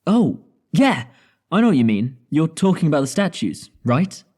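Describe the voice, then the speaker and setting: A man playing a casual, doubtful spaceship crew member in a sci-fi audio drama, with a husky, mellow voice. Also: on the high side, sing-song, normal speed.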